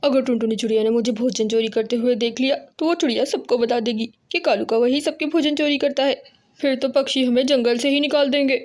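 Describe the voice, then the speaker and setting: A thieving male crow from a children's cartoon, voiced by a woman. He has a high voice and talks like a henpecked schemer, always placating and plotting. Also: steady tone, brisk, a little mellow, lightly acted. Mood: wary.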